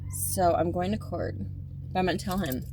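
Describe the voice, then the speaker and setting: A woman in her fifties talks straight to the camera in a loose, rambling way, circling back over her words and restarting sentences. Her voice sits a little low, and she turns firm and emphatic when she insists on a point. A faint ambient hum runs beneath her. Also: moderate pace. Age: 50s. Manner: emphatic and indignant at times. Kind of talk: casual spoken monologue.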